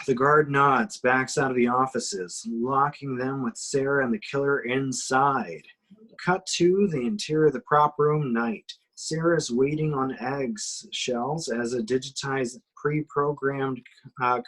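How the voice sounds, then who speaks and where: A man with a medium-pitched, slightly rough voice narrates a film script. He reads scene headings and action lines as the narrator of an amateur table read. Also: unhurried, a bit bright, low-quality recording. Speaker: action-description reader.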